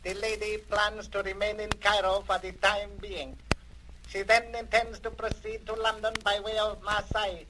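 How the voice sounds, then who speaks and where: A man plays an obedient villain's servant in an old radio serial. His voice is high and flat, formal and deferential as he reports. The recording is clearly noisy. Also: moderate pace, close to an everyday voice. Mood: obedient, matter-of-fact. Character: obedient, dutiful male henchman reporting to his master. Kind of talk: 1930s radio drama acting.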